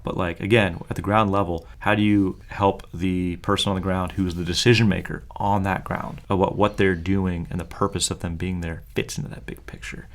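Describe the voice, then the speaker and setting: A man's voice: deep voice, flat delivery, brisk, hardly any pauses. A man co-hosting a podcast, talking casually and earnestly with frequent fillers. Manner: talkative, earnest, many fillers. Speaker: podcast co-host.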